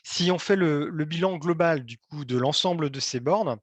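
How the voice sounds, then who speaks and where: A man giving an explanatory presentation to local officials, speaking in a halting, hesitant way. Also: on the high side, no echo.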